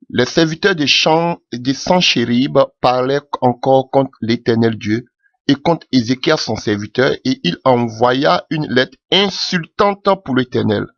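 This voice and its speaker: A man's voice: fairly bright tone, no room sound, muffled recording. A man preaching a sermon with passion, calling out alleluia often as his voice rises. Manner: impassioned, rising pitch with frequent alleluias.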